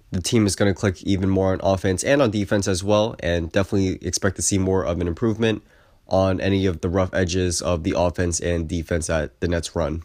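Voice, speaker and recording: A man's voice: low-pitched, no room sound, studio quality. A young man with a congested cold voice, giving a casual basketball recap as a video host and often saying "I definitely think". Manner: easygoing, repeats "I definitely think". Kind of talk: casual sports recap commentary.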